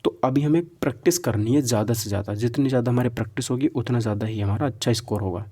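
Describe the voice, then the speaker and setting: A man's voice: medium pitch, fast, mellow. A man giving an informal exam-prep lecture, instructive, mixing in English terms and adding frequent check-in tags. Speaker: exam-prep physics teacher. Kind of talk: informal lecture going through a syllabus.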